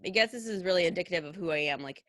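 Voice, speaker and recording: A woman in her thirties talks in a low voice with a bright, forceful edge. She chats loosely and lets "like" and "you know" run through her sentences. The sound is dry and close. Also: very fast, dry recording. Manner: chatty, many fillers like "like" and "you know". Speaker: guest, costume designer. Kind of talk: casual conversational interview.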